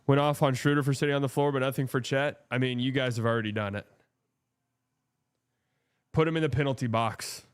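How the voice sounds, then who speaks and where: A man's voice: medium pitch, fairly even intonation, fairly quick, long pauses, smooth. A man hosting a live watch-party stream, chatting casually and bluntly about the game and answering his chat.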